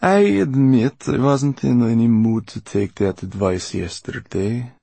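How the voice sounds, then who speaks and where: A man voicing a husky, vengeful old woman. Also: medium pitch, unhurried, mellow, no echo, voice pushed up. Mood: gloating. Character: vengeful, cold old woman, commanding villain. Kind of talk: audiobook character acting.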